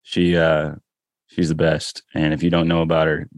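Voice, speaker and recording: A man, a pastor guesting on a panel, chatting casually in a halting, slangy way. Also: rumbling low, monotone, no echo. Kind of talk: casual chat.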